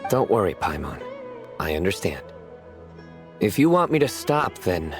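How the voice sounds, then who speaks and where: A man playing a calm, composed young officer with a dry, deadpan wit in a video game, music behind. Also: low-pitched, measured pace. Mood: deadpan joking.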